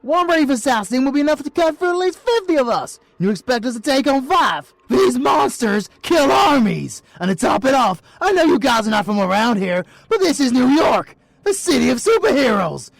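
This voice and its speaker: A British man voicing a panicked young male thug who shouts frantically at a much higher pitch than his own voice. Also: sing-song, normal speed, vocal fry, few pauses, dry recording, heavily acted.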